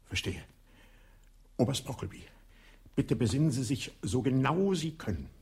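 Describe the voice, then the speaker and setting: An actor playing a shrewd police inspector in a radio play, with a husky voice, probing and ironic. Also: male voice, mid-range voice, animated, normal speed, mellow.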